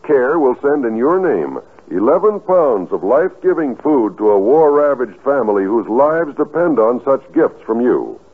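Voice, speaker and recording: A male announcer with a medium-pitched, husky voice and a widely varied melody. He reads earnestly and deliberately in formal fifties radio style. The recording is thin and muffled like an old broadcast. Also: big pitch swings.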